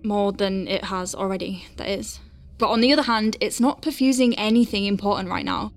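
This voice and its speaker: A woman's voice: mid-range voice, a little fast, lightly acted. A woman playing an anxious but wry medic piloting a craft in a science fiction audio drama, thinking aloud in technical terms. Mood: focused, thoughtful.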